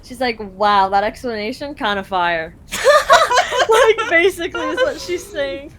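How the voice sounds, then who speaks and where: A woman's voice: high voice, expressive, unhurried, noisy background. A young woman from Canada translating a game live for a friend, chatty and full of fillers.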